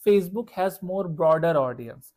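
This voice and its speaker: A young man from Bangladesh with a Bangladeshi accent, a freelance ad marketer earnestly pitching his services to a client on a call. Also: animated, normal speed, darkish tone. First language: Bengali. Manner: earnest, reassuring, fillers of "you know". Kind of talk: sales call.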